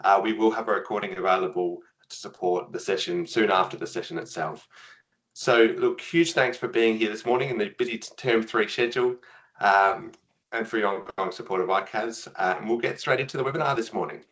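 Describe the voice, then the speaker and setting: An Australian man with a fairly low voice opens a webinar as its host. He talks in an upbeat way, leans on "so, look" as he moves along, and sounds scripted. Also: brisk, a bit bright. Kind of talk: scripted-feeling webinar introduction.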